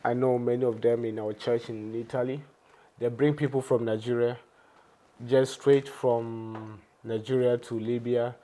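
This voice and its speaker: A man interviewing in a casual, conversational way, agreeable and quick to affirm. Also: medium pitch, little pitch movement, mellow. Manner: agreeable, frequent backchannels.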